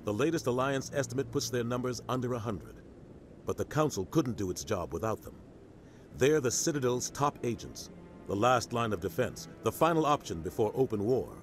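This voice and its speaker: An actor voicing a seasoned, pragmatic military officer in a video game, explaining with measured authority. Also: male voice, mid-range voice, animated, faint music behind, close to an everyday voice. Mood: grave, measured. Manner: matter-of-fact, authoritative explaining.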